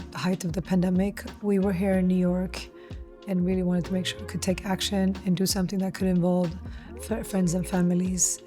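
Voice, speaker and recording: A woman's voice: on the low side, warm tone. A middle-aged woman who grew up in Sweden, talking earnestly and reflectively in an interview, explaining her work. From Stockholm, Sweden; born in Eritrea. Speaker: founder of a community food nonprofit, interviewee.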